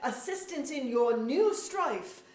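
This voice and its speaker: A woman's voice: high-pitched, lively intonation, takes it slowly, a little mellow. A woman playing a proud, weary fairy king in a staged reading of a verse drama, declaiming formally. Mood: grave, aggrieved. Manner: formal, declamatory, archaic phrasing. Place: museum auditorium stage. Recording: echoey auditorium, recorded from far off.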